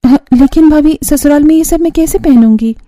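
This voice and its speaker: A woman's voice: high voice, a little fast, dark tone, voice pushed up, lightly acted. A woman playing a timid, grateful young bride in a cartoon family story. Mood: hesitant. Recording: music bed under the voice.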